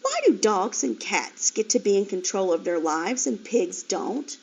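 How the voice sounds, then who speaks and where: A woman's voice: medium pitch, lively intonation, measured pace, gravelly, a little mellow. A woman voicing a curious young female piglet in a storybook reading. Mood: questioning. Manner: eager, questioning. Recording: dull lo-fi recording.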